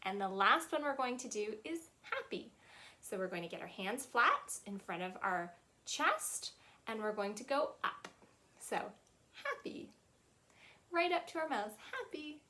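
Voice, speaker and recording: Woman, 30s, children's storytime host; high voice, slow sing-song delivery, webcam in a small playroom. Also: sing-song, bright, forceful tone. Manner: sing-song, teacherly, lots of hand gestures. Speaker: children's librarian. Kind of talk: children's storytime with songs and signing. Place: small playroom with shelves, indoors.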